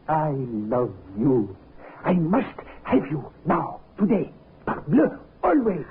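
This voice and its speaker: An actor playing an amorous, insistent Frenchman with a French accent and halting English in a 1950s radio drama. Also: on the high side, huge pitch swings, full character voice. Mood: amorous, eager. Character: amorous French male lover, ardent and insistent. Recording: noisy, tinny 1950s radio recording.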